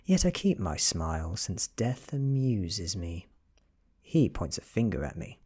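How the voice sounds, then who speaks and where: A British man reading a story aloud in a soft-spoken ASMR style, breathy and often close to a whisper, with slow, deliberate delivery. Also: low voice, very animated. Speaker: ASMR reader.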